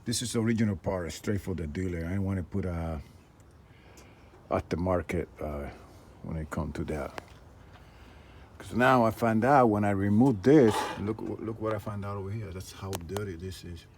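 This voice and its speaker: Male DIY repair vlogger, low register, casual and muttery, noisy camera audio. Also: moderate pace, a little mellow. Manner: informal, muttering to himself while working. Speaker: vlogger, tradesman doing a DIY truck repair. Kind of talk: casual hands-on repair vlog. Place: at a vehicle being repaired.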